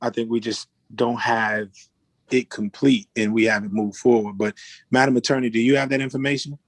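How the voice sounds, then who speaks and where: A man chairs a formal board meeting online. His voice sits at an average pitch, with very little room sound. He speaks deliberately and procedurally, as someone running the order of business. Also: steady tone, no room sound. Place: virtual meeting.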